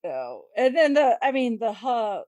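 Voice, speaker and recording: Female podcast co-host, fairly high voice, unhurried delivery, animated casual chat, close dry sound. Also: no echo. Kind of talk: casual film discussion chat.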